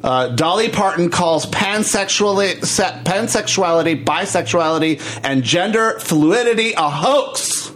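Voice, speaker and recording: A man hosting a casual talk podcast, talking haltingly with many restarts and reading headlines aloud. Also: high voice, fairly bright tone. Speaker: podcast host. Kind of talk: casual chat.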